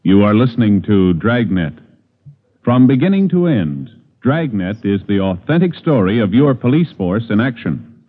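A man's voice: fairly low pitch, sing-song, normal speed. An American man announcing a radio show in a formal, declamatory 1950s style. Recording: narrow old radio broadcast, music underneath.

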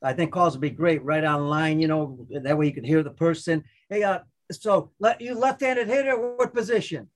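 A man's voice: a little high, normal speed, hardly any pauses. A man hosting a podcast, chatting upbeat and rambling, often restarting his sentences. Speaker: podcast host. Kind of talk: casual chat.

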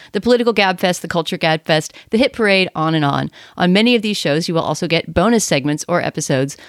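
A woman film critic hosting a podcast, speaking in a measured, wry conversational way. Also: on the low side, no echo, studio quality.

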